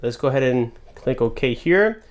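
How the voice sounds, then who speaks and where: A man's voice: medium pitch, expressive, moderate pace. A man giving a casual, chatty phone review to his viewers at a desk indoors. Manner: chatty, addresses viewers as guys. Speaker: tech reviewer. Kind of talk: casual product demo.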